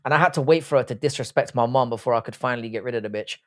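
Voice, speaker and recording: A man's voice: medium pitch, rapid-fire. Blunt British man in his forties, livestreaming. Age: early 40s. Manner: blunt, crude, slangy and jokey. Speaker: livestream host. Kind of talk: casual chat, reading and answering live comments.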